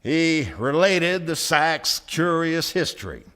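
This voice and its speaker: A man performing as a wry storyteller, narrating in deliberate theatrical phrases for a live radio play. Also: fairly high pitch, lively intonation, bright, forceful tone, clean tone, exaggerated voice. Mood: wry storytelling. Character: wry storyteller narrating a small-town satire. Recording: live stage radio recording with music.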